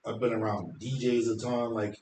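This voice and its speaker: Deep-voiced man talking shop. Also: steady tone, moderate pace, a little mellow, echoey room, a little hiss or hum, rough recording. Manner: talkative, confident, explaining at length. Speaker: hairstylist guest. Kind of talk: casual interview chat.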